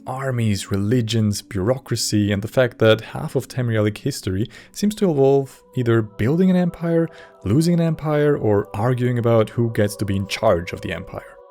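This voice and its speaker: A man giving scripted narration for a game lore video in a measured, slightly wry way. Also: mid-range voice, dark tone.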